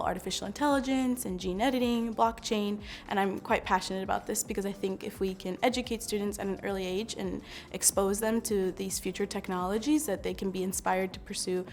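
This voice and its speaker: A woman's voice: medium pitch, vocal fry, a little hiss or hum. A woman startup founder giving an interview testimonial. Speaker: startup founder, scholarship recipient.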